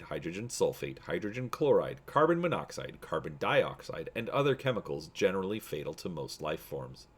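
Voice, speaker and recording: A man playing an officious, droning imperial officer giving a formal guided tour in a science fiction story. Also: sits low.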